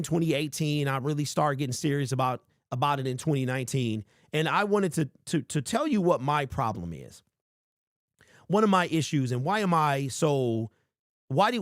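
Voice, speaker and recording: A man in his forties hosting a casual sports talk show, reading viewer comments and rambling with lively emphasis. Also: medium pitch, no echo, studio quality. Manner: animated, rambling, calls listeners bro. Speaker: sports podcast host.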